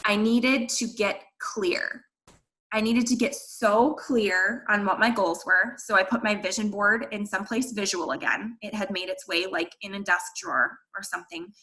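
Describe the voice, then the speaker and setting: A woman with a bright, forceful voice speaks to her team in an earnest, candid way. She encourages her listeners, with everyday fillers running through her talk. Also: medium pitch, lively intonation, moderate pace, poor audio. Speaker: guest speaker at a team training. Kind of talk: motivational talk to a sales team.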